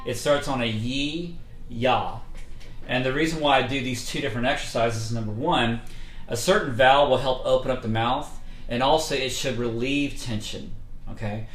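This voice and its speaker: Easygoing male vocal coach, echoey room. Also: mid-range voice. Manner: relaxed, conversational, many fillers. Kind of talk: casual instructional talk. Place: indoors, livestream setup.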